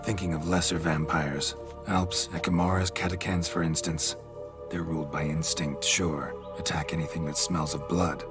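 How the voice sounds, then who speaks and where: Gruff stoic monster slayer for a game, real low voice, ish deadpan, music under it. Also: male voice, normal speed. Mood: dry, grave. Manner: clipped, deadpan, drops sentence openings. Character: stoic gruff male monster hunter, weary and matter-of-fact. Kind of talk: video game voice acting.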